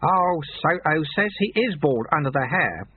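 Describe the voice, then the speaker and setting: A man voicing a cheerful, amiable old male temple sweeper who talks in an unhurried, gently teasing way. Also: fairly high pitch, very animated, a little hiss or hum, voice pushed up. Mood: amused, wise. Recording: tinny phone-like sound.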